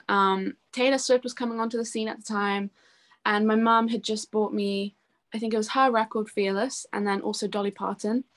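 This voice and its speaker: A woman's voice: a little high, fairly bright tone. A young woman talking informally as an interview guest, chatty and peppering her sentences with casual filler words. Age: young adult. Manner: chatty, informal, often says "like" and "you know". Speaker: interview guest, singer-songwriter.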